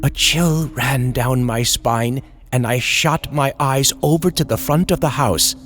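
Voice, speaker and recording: A man reading a scripted horror story in a theatrical, deliberate old-time radio storytelling style. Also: medium pitch, a bit bright. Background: music.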